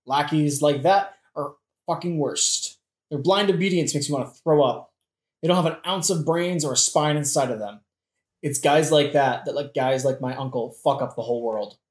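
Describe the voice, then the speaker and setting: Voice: mid-range voice, normal speed, echoey room, close to an everyday voice. A performer playing a flippant, sarcastic, world-weary young prince in a modern comic audioplay, using casual slangy speech. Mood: scornful. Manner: sardonic, slangy.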